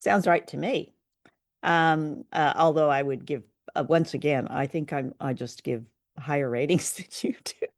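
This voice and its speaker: A woman co-hosting a conversational review show, speaking in measured, hesitant phrases. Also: deep voice, dry recording, clean studio sound. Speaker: podcast co-host. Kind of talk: conversational radio drama review.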